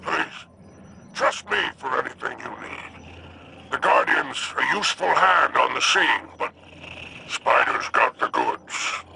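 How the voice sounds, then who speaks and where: An actor playing a sly, mercenary alien crime boss in a video game, with a gravelly voice and wheedling, drawling sales talk. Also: male voice, mid-range voice, expressive, slow, noisy background, exaggerated voice. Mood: ingratiating. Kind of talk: video game character acting.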